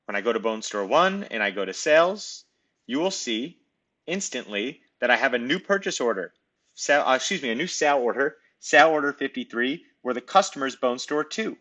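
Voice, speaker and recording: A man narrating a screen-recorded software demo, explaining each step in a conversational way. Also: mid-range voice, lively intonation, brisk. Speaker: software demonstrator. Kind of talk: screen-recorded tutorial walkthrough.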